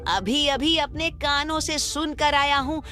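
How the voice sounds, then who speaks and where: A woman voicing an eager, excitable young village boy in a cartoon. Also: sits high, a little slow, faint music behind. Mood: alarmed. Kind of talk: animated cartoon dub.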